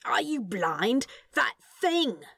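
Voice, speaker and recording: A woman's voice: fairly high pitch, very animated, slow, creaky, fairly bright tone, husky, no room sound, clean studio sound, voice pushed up, exaggerated voice. A woman voicing a snappy, exasperated, paranoid male demon in a storybook reading. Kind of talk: storybook character voice in fan fiction reading.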